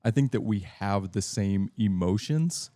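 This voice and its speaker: Deep low guy podcast cohost, mellow warm tone, dry close mic, rambles thoughtfully. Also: animated, measured pace, no room sound. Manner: loose, reflective, filler-heavy talk. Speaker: podcast co-host. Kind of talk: casual chat.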